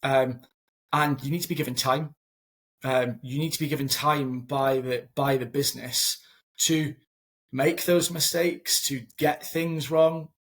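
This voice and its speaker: A man's voice: medium pitch, steady tone, unhurried, a bit bright. A man in his thirties from Scotland, a company founder interviewed over a video call, talking earnestly and often repeating words as he thinks. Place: small home room.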